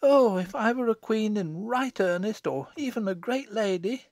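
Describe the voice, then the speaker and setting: A man's voice: high voice, moderate pace, darkish tone, dry recording, clean studio sound, voice pushed up. A man voicing a cheerful, dreamy young village woman in an audiobook, in a light, eager, kindly manner.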